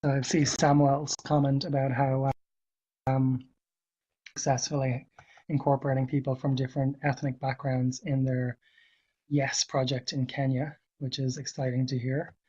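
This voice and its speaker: Hoarse man presenting a webinar. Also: mid-range voice, level tone, warm tone. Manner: measured, thinking aloud with fillers and restarts. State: hoarse from a cold. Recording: dull lo-fi webinar audio.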